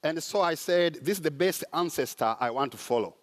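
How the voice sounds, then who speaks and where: A man from Rwanda with a Rwandan accent, giving a conference talk in a sermon style with frequent pauses and fillers. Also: on the high side, expressive. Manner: halting, with many "uh" pauses. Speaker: conference speaker. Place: large conference hall.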